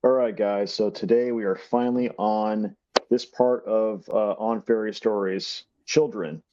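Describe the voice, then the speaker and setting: A man's voice: fairly low pitch, fairly even intonation, a little slow, noisy background. A man co-hosting a podcast, explaining things casually in simple, repeated phrases. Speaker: podcast co-host. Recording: dull, band-limited dry microphone sound.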